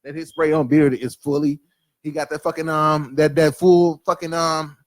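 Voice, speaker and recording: A man's voice: fairly high pitch, level tone, moderate pace, no room sound. A man co-hosting a podcast in casual chat, blunt and profane, laughing often.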